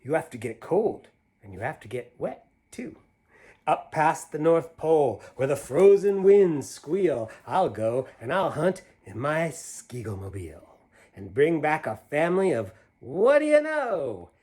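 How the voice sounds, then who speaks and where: Guy reading as excited little boy bragging in sing-song rhymes, storybook style, voice swoops all over. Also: on the high side, measured pace, husky, full character voice. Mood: excited, grand. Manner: excited, boastful, sing-song rhyming declamation. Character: eager young boy dreaming up his own zoo.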